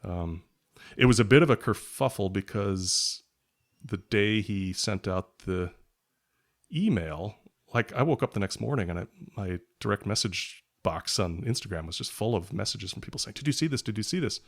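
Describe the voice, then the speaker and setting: Low, creaky-voiced man chatting casually. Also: expressive, moderate pace. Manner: rambling, hesitant, thinking aloud. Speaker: podcast guest, writer and product journalist. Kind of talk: casual conversational interview chat.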